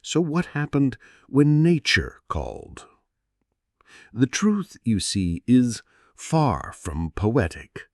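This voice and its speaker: A man narrating a scripted history story for sleep, in a gentle, soothing storyteller's manner with dramatic pauses. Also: fairly low pitch, dry recording, clean studio sound.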